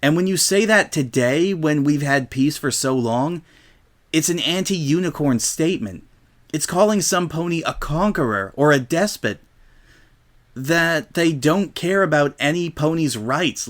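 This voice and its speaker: Man voicing an earnest, higher-pitched bookish young mare. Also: expressive, measured pace. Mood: earnest, explanatory. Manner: explanatory, patient lecturing. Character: earnest, bookish young female unicorn, lecturing carefully.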